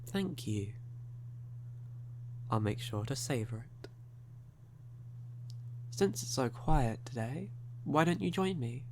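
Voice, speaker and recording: A man performs a sly, flirtatious male vampire in an ASMR audio roleplay. His mid-pitched speech is very unhurried, courtly and teasing, with formal phrasing. A noticeable hum sits under the recording. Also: animated, darkish tone. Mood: inviting, charming.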